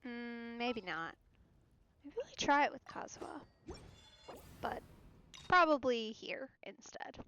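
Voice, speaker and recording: A woman streaming a video game, casually thinking aloud in a low-key, musing way as she plays. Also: sing-song, takes it slowly, long pauses, sharp tone, a little hiss or hum. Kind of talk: casual live game commentary. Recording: game music behind the voice. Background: video game music.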